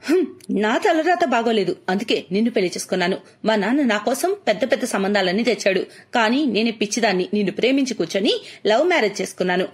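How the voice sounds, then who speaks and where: A woman's voice: mid-range voice, lively intonation, quick pace, breathy edge. A woman voicing a discontented, status-hungry young wife in a moral story, in a complaining, insistent manner. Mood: resentful.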